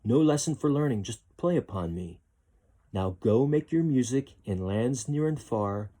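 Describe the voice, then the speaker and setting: A man's voice: mid-range voice, lively intonation, a little slow, warm tone. A man voicing a kindly enchanted guitar that recites a solemn rhyme in a storybook. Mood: solemn, inviting.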